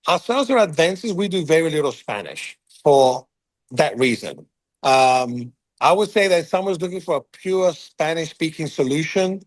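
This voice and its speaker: A man being interviewed as a company chief, talking in quick bursts full of fillers and restarts. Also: on the high side, no room sound. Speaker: CEO of a contact center firm, guest. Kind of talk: business interview, unscripted.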